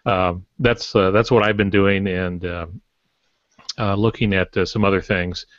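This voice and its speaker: A man with a very deep voice explains things casually as a guest author on a podcast. The recording is dry but dull and lo-fi. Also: fairly even intonation, a little slow, a bit bright, dry recording. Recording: dull lo-fi online call audio.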